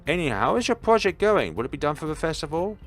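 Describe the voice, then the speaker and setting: A man plays an indignant young woman from a visual novel student council. The voice is pitched well up and loud, scolding in formal, outraged phrases over music. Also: sing-song, fast talker. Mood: brisk.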